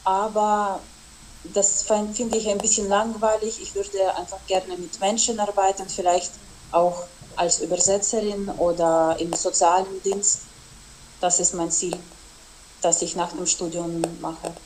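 A woman's voice: mid-range voice, flat delivery, measured pace, darkish tone, poor audio. A young exchange student whose first language is Russian answers casual interview questions. She hesitates often and fills gaps as she talks. Her voice sits mid-range, with a faint hiss of noise in the background. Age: young adult. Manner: hesitant, with frequent fillers.

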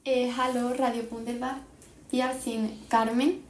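A woman's voice: a little high, flat delivery, a little slow. A young woman, a student podcast host, reading a scripted intro and stumbling over a word. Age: young adult. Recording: roomy with faint noise.

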